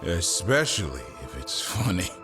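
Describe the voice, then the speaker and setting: Game casting: a gruff, companionable veteran warrior, deep and animated, over clear music. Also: male voice, measured pace. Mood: jovial. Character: gruff, sociable veteran monster fighter swapping war stories. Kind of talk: video game cutscene acting.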